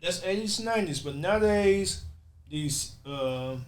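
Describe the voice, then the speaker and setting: A man with a high voice hosts a casual group podcast. He talks directly to the others, steering them and persuasively setting up his points. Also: animated, a little slow.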